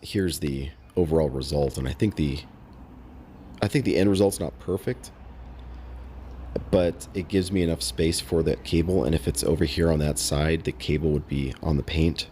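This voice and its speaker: A man casually narrating a how-to vlog in a halting, unscripted, matter-of-fact way. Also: very deep voice, fairly even intonation, warm tone, a little hiss or hum. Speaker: host of a DIY install vlog.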